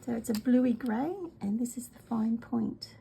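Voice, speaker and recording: Gravelly Australian woman with a cold. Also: fairly high pitch, flat delivery, measured pace, a little hiss or hum. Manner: chatty, rambling, many ums, often soft and whispery. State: congested, voice worn from a cold. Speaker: craft product demonstrator. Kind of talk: casual craft video chat.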